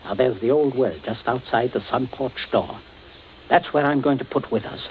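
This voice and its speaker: A man's voice: mid-range voice, normal speed, a little hiss or hum, close to an everyday voice. Man acting coolly menacing father, tinny old radio. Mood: cold menace. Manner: curt, commanding, icily polite. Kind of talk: vintage radio suspense acting.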